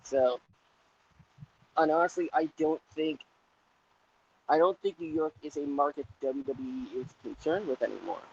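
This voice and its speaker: A man's voice: fairly high pitch, normal speed, gravelly, long pauses, a little hiss or hum. A man co-hosting a casual wrestling podcast, dry and joking, often restarting his sentences. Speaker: podcast co-host. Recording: dull lo-fi podcast audio.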